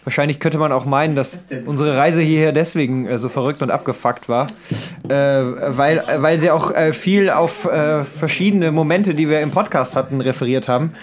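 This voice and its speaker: Rambling young man, slightly high voice. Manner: halting, rambling explanations. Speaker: podcast co-host. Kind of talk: casual chat among friends.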